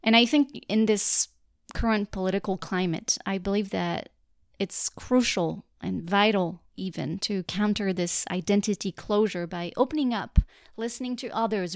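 A woman's voice: mid-range voice, dry recording, clean studio sound. French-accented woman hosting a podcast. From France. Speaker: podcast host. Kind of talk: scripted podcast trailer narration.

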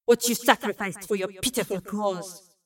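A woman's voice: medium pitch, steady tone, moderate pace, no echo, clean studio sound, natural voice. A woman playing a power-hungry villainess in an audio drama, contemptuous and imperious, sneering and hissing her words. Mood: hissing threat.

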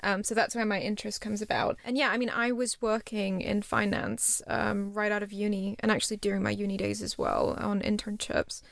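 Chatty woman with a gravelly edge. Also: medium pitch, level tone, brisk, few pauses, no room sound, studio quality. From Singapore. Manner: chatty and self-deprecating, often laughs at herself. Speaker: startup founder, guest. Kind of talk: casual interview chat.

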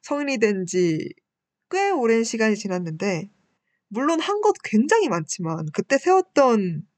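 A woman's voice: fairly high pitch, very animated, moderate pace, a little mellow, no echo. Candid young woman host, dry close sound. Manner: polite, candid, self-deprecating. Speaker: radio-style show host and university student. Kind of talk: personal reflective talk, reading listener messages.

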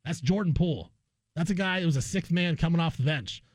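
A man's voice: on the high side, brisk, dry recording. A man hosting a sports talk radio show, giving opinionated commentary in a conversational way. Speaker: sports radio guest host. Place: radio studio.

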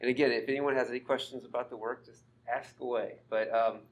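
A man's voice: medium pitch, little pitch movement, fairly quick. A man with an ordinary mid-range voice hosts an informal talk. He prompts casually and mostly offers short nods of agreement. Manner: brief affirming backchannels. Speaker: interviewer. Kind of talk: casual interview.

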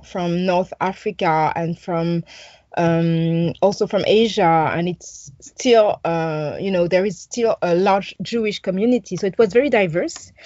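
A woman's voice: on the low side, darkish tone, no room sound, dull audio. A French woman in her forties, a journalist answering interview questions thoughtfully with many hesitations and restarts. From France.

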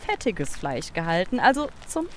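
A woman's voice: lowish voice, sing-song, moderate pace, noisy background. A woman co-hosting a children's science podcast, playful and explanatory in a scripted audio play. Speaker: podcast co-host.

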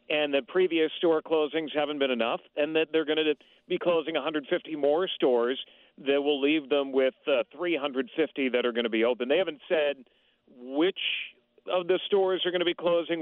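A man's voice: a little high, no echo. A man calling in as a guest reporter, steadily explaining retail news with frequent "uh" fillers. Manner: steady, thorough, with many "uh" fillers. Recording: thin phone line.

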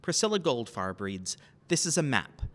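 A man's voice: medium pitch, sing-song, moderate pace. A man hosting a podcast, reading scripted introductions and announcements to listeners. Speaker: podcast host.

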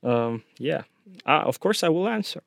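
A man's voice: fairly high pitch, very animated, normal speed, gravelly, dry recording, studio quality. A young man from Russia with a Russian accent, co-hosting a casual podcast in a hesitant, dryly teasing way. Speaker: podcast co-host.

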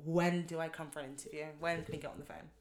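A young woman co-hosting a podcast, talking quickly, emphatic and confident with plenty of slang. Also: sits low, fairly even intonation. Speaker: podcast co-host. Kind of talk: casual chat.

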